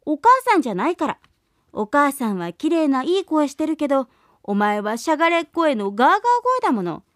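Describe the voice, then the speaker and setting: Brief: tiny storybook goat kids, woman reader, shrill and shouty, dry close sound. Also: lively intonation, normal speed, no room sound. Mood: defiant. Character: chorus of small excited young goats. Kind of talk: storybook reading.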